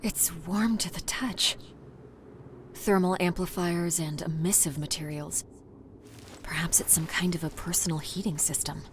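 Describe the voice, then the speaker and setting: A woman's voice: lowish voice, steady tone, unhurried, noisy background, lightly acted. An actress playing a determined, wary woman scientist stranded on an alien world in a video game, often musing breathily to herself. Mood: curious, focused. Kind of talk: video game cutscene acting. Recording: music behind the voice.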